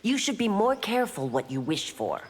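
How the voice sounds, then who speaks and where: An actress playing a stern, resolute law officer and warrior in a video game, with a firm, formal manner. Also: female voice, mid-range voice, big pitch swings, moderate pace. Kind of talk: video game heroine acting. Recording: reverberant arena with clear background noise.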